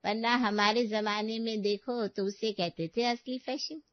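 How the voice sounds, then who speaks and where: A woman's voice: a little high, steady tone, a little slow, no room sound, lo-fi sound, close to an everyday voice. A woman voicing a nosy middle-aged neighborhood aunty in a cartoon story, gossipy, teasing and prying. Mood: mocking.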